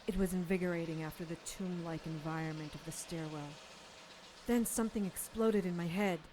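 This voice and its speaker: A woman's voice: on the low side, steady tone, fairly quick, background noise, close to an everyday voice. An actress playing a defiant female occult doctor held captive in a radio drama, answering sharply.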